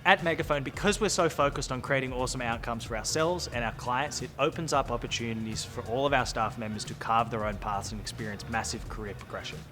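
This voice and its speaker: A man with an Australian accent and a medium-pitched voice presents a scripted recruitment message. He speaks as a company presenter over a music bed. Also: fast talker, hardly any pauses. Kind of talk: scripted recruitment video narration.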